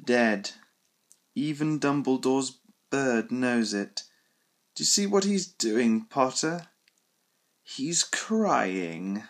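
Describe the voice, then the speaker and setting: A man reading an audiobook voices a sneering young male dark wizard who taunts his enemy in soft, drawn-out words. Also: medium pitch, animated. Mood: gloating taunt. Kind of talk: audiobook character voice.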